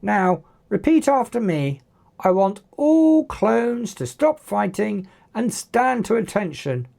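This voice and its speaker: A narrator voicing a commanding young boy hero who gives forceful, clipped orders in an audiobook. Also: medium pitch, big pitch swings, slow, vocal fry, exaggerated voice.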